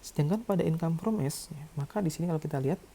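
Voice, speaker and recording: Lecturer explaining stuff step by step, really dark mellow voice, kinda gravelly. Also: mid-range voice, fast, a little hiss or hum. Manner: didactic, checks with "ya" and "oke". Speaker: accounting lecturer. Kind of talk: explanatory lecture, working through calculations.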